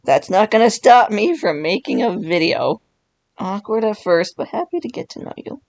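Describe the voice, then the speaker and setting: A young woman with a raspy voice from being sick, chatting casually and laughing often as a YouTuber. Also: medium pitch, very animated, normal speed, a little mellow. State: sick, voice worn. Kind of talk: casual commentary while chatting with an AI chatbot.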